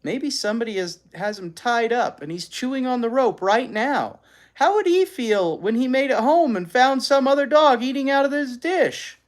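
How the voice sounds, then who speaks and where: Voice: medium pitch, expressive, normal speed, voice pushed up, natural voice. A storybook reader voicing an earnest, stubborn boy who pleads insistently for a lost dog. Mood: pleading, insistent.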